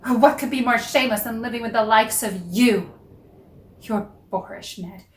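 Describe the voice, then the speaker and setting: A woman's voice: fairly high pitch, moderate pace, close to an everyday voice. A woman playing a proud, passionate wife and mother in a theatrical period drama table read, dramatic and earnest, on a roomy, distant microphone. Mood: indignant.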